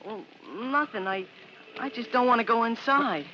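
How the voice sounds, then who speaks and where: Sulky teenage boy, high-pitched and exasperated, 1950s radio serial, muffled noisy recording. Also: normal speed, pushed and bright. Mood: glum, reluctant. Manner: indignant, grumbling. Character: sulky, exasperated fourteen-year-old boy. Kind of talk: 1950s radio drama acting.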